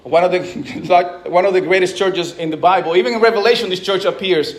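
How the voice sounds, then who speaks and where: High-voiced preaching man from the Dominican Republic. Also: brisk. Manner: conversational preaching with frequent restarts and asides. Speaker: pastor. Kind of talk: sermon.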